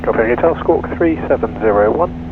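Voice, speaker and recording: A man working as an air traffic controller, giving procedural clearances and instructions over aviation radio. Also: mid-range voice, lively intonation, fairly quick. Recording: narrow crackly aircraft radio, loud engine noise.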